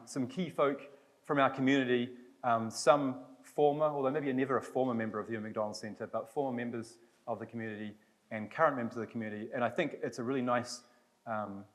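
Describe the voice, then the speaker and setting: A man's voice: medium pitch, steady tone, fast. A man with a New Zealand accent, chairing an academic symposium session and reminiscing loosely, with restarts. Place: lecture hall.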